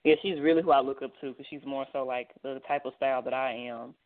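A woman in her twenties from Texas, answering interview questions over the phone and pausing often on ums. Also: very deep voice, steady tone. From Dallas, Texas. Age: 20s. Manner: hesitant, many ums, soft-spoken. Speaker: guest model. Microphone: phone line. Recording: tinny phone line.

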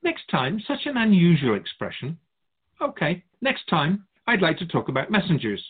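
A wise otherworldly keeper of souls from a radio drama acted by a man. He muses in a formal, philosophical way with gentle wryness, his voice rising and falling freely and slightly husky. It comes through a thin, phone-like band. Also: a little high, wildly swooping pitch, moderate pace, full character voice. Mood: curious, gentle. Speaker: spirit guide being interviewed. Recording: muffled phone-like narrow band.

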